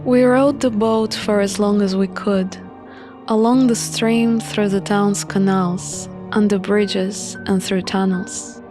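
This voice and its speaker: A woman reading a visual novel aloud as an expressive narrator of a playthrough. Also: mid-range voice, takes it slowly, over music.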